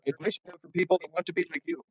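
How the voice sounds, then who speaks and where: A man co-hosting an interview show, joking and moving things along briskly. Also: high-pitched, lively intonation, breathy edge, no echo, poor audio. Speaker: co-host.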